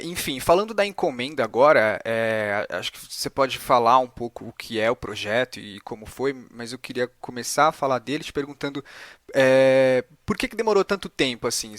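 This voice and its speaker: A man's voice: medium pitch, fairly quick, a bit bright, no room sound. A man with a Brazilian accent hosts a casual podcast interview, feeling his way informally into his questions.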